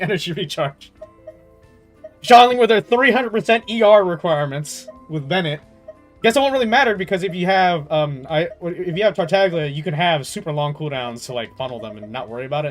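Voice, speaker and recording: A young man chatting casually on a game livestream in an animated, slangy way, swearing freely. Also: sits high, fast, faint music behind. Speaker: game streamer.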